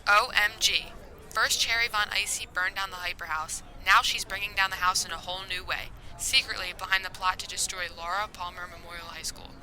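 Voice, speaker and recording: A woman's voice: mid-range voice, steady tone, brisk, lightly acted, rough recording. A woman playing an earnest, eager young girl junior spy in a comedic audio drama. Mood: triumphant. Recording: busy crowd noise behind. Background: crowd.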